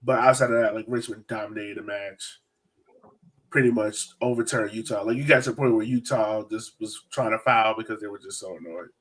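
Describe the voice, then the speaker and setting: A man's voice: lowish voice, brisk, a bit bright. Man hosting, distant from the mic. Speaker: podcast host. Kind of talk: casual sports chat.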